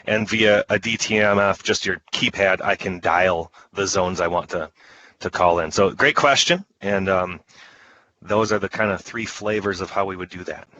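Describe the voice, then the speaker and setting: A man presents products in an informal webinar with a fairly low but bright, forceful voice. His delivery is conversational and enthusiastic, like a trainer selling what he explains. The audio is slightly dull, as from an online call. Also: normal speed, slight background noise.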